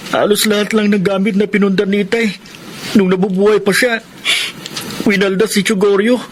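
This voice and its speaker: An earnest, protective younger brother speaks in a radio drama, played by a man. His voice sits very high, and a faint background hiss runs under it. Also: little pitch movement, moderate pace, lightly acted. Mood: upset.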